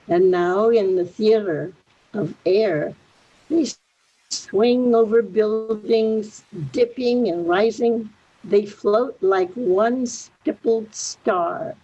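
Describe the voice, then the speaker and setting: A woman reciting a poem deliberately, pausing between short phrases, on a muffled recording. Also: mid-range voice, dark tone, husky. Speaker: poetry reader. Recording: dull, muffled lo-fi sound.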